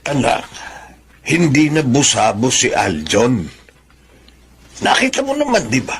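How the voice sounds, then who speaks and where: An actor playing a weary, regretful old peasant father with a creaky voice in a Filipino radio drama. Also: mid-range voice, huge pitch swings, a little slow, fairly bright tone, exaggerated voice. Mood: reasoning, frank. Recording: background noise, ambient sound.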